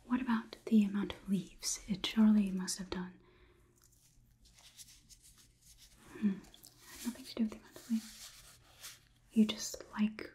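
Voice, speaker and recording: A young woman performing an ASMR roleplay as a calm robot overlord, whispering in a measured, inquisitive, slightly condescending manner. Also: medium pitch, lightly acted. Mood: quietly probing. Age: young adult. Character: calm, clinical robot overlord examining a human. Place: small indoor room.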